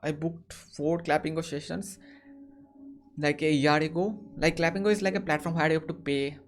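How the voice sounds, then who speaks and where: A man's voice: on the high side, steady tone, moderate pace, soft background music. Young man, Indian accent, hesitant, repeats himself, casual online chat. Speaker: host.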